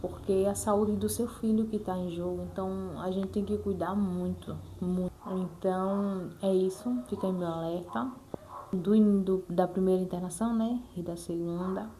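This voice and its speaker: A woman's voice: medium pitch, steady tone, normal speed, dark tone, a little hiss or hum. A Brazilian woman talking casually and earnestly to viewers as a mother, repeating herself and adding frequent check-in tags. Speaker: mother sharing her experience in a vlog. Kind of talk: casual personal talk to viewers.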